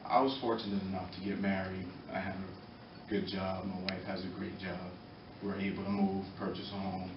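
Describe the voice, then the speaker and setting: Low, even-voiced American man in echoey room. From Norwalk, Connecticut, United States. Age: middle-aged. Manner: earnest, halting, pausing between short phrases. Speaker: former boxer speaking in support of a youth program. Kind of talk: impromptu public testimony at a committee meeting. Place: indoor meeting room, far from the mic. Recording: echoey, muffled, distant, noisy room recording.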